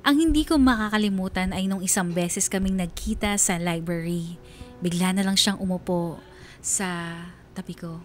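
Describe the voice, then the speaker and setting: A woman's voice: on the low side, normal speed, soft background music, close to an everyday voice. A woman playing an uneasy, guarded young student who narrates her own story in a confessional radio drama. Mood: uneasy, reflective.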